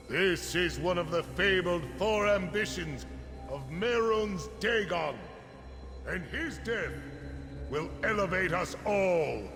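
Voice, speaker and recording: A man's voice: high voice, fairly bright tone, exaggerated voice. An actor playing a pompous, zealous cult leader in a fantasy game, giving a grandiose ceremonial speech to his followers. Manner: grandiose, deliberate oratory. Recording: long hall reverb, music behind.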